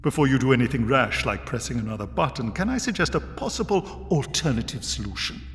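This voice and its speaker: A male reader plays an eccentric British adventurer in an audiobook. He is breezy and polite with a wry, coaxing manner, in a medium-pitched voice with a roomy reverb. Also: lively intonation, fairly quick, a little hiss or hum, natural voice. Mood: persuasive, wry. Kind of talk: dramatised audiobook reading.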